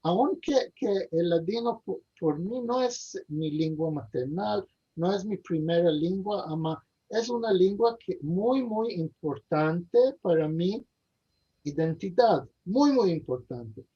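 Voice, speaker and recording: A man being interviewed over a video call, speaking haltingly with many pauses, fillers and repeated words. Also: high-pitched, animated, warm tone, breathy edge. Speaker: interview guest. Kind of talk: hesitant conversational interview. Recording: dull lo-fi video call audio.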